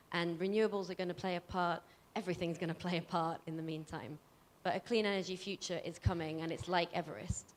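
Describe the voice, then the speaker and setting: Female event speaker, lowish voice, earnest and deliberate delivery with emphatic phrasing. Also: darkish tone. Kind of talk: earnest public speech.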